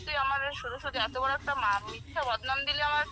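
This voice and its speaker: A woman's voice: high-pitched, fast talker, bright, forceful tone, a little hiss or hum. A woman on the phone in a heated informal argument, defending herself indignantly and repeating herself. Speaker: friend receiving a prank call.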